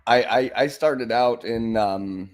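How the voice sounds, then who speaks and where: A man's voice: mid-range voice, slow pace. Man with a powerful voice, chatting. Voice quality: powerful singing voice. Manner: eager, rambling storytelling with many restarts. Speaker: guest singer. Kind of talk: casual chat.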